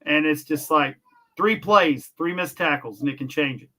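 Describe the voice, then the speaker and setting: A man's voice: a little high, moderate pace, bright, forceful tone. A man co-hosting a casual sports podcast, talking emphatically and hedging his own predictions. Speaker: podcast co-host.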